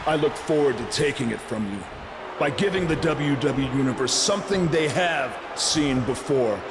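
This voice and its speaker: A man's voice: mid-range voice, expressive, normal speed, noisy background, natural voice. A man playing an arrogant, menacing wrestling villain in a video game, speaking in a taunting manner. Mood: smug, threatening. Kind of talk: video game cutscene acting.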